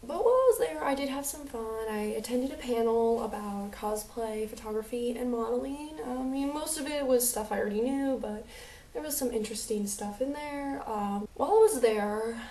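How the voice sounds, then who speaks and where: Young woman, mixed american accent, higher voice, mellow tone, rambling chatty, echoey room, a bit off-mic. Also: moderate pace, far from the mic. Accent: mixed American, New Jersey with a light Southern drawl. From United States. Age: young adult. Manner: chatty, rambling, many fillers and asides. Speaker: vlogger. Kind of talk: casual storytelling vlog.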